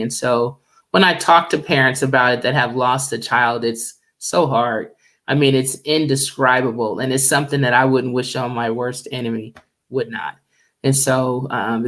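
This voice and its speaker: A middle-aged woman singer with a deep, low voice, chatting easily as a radio guest and laughing often. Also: fairly bright tone. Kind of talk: casual radio interview chat.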